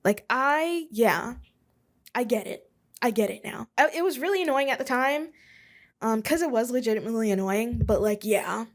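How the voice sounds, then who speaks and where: Young woman host, solo podcast chat from a home office, rambly and self-mocking, voice a bit high. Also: expressive, moderate pace. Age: 20s. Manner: rambling and self-deprecating, with chuckles and asides.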